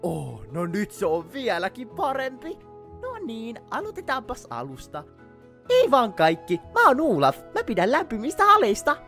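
A woman voicing a naive, friendly little male snowman in an animated film fan dub. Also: on the high side, faint music behind, exaggerated voice. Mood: excited.

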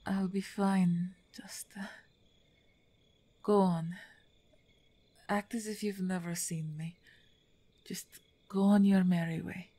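An actress voices a strong half-orc woman, wounded and weary. She speaks very slowly in a mellow, breathy voice that often sinks to a whisper. She is halting and weak, wary but wry, as in an ASMR audio drama. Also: mid-range voice, level tone. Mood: weak, pleading. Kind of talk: ASMR roleplay acting.

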